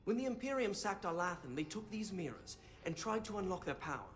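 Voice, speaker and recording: Fussy young mage scholar, animated pitch. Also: male voice, a little fast. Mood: explaining. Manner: fussy, stammering, pedantic. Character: fussy, bookish young male mage scholar, nervous, excitable. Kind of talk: video game voice acting.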